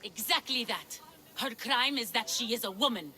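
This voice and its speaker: A woman's voice: on the high side, normal speed, bright, forceful tone. A woman playing a haughty, severe ancient Greek priestess in a video game, speaking formally. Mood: stern. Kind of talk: video game character acting.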